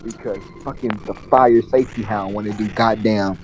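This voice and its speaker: A young man telling a casual story to friends in an animated, slangy, profane way, acting out the dialogue. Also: on the low side, moderate pace, slight background noise, rough recording. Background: video game music.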